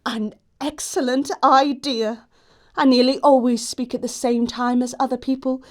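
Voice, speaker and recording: A woman's voice: high-pitched, steady tone, a little slow. An actress playing a self-assured young society lady in a stage comedy, poised and formal. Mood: lofty, decided. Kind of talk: theatrical stage comedy acting.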